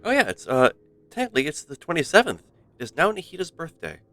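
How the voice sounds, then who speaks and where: A man's voice: a little high, wildly swooping pitch, fast talker, bright, forceful tone. A man chatting casually on a game livestream, rambling and playful. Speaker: variety game streamer. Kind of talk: casual livestream chat and commentary. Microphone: close streaming microphone. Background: faint game music.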